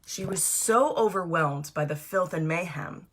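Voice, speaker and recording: A woman reading her storybook aloud to children in an animated, encouraging way, addressing young listeners directly. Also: fairly low pitch, a little slow.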